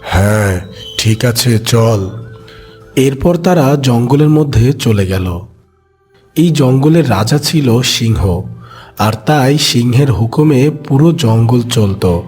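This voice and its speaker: A man's voice: mid-range voice, lively intonation, slow pace, a little mellow, faint music behind. A man narrating a scripted children's animal story as its storyteller.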